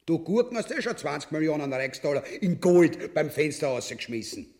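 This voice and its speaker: A man's voice: a little high, very animated, moderate pace, a little mellow. An actor playing a greedy, boorish usurper king and husband in a grotesque radio drama, blustering and commanding in an Austrian accent. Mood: scornful.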